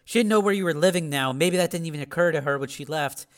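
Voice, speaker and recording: A man voicing a world-weary woman who breaks bad news gently in a flat, subdued way in an audiobook drama. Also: fairly high pitch, fast talker, pitched above the performer's own voice, lightly acted. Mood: grave, reluctant.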